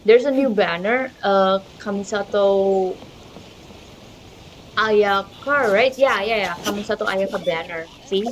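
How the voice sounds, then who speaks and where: A woman hosting a gaming channel, chatting casually and excitably to viewers and sometimes to herself. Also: medium pitch, unhurried, a little hiss or hum. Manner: informal, excitable, talks to herself. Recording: dull lo-fi mic over game music. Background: game music.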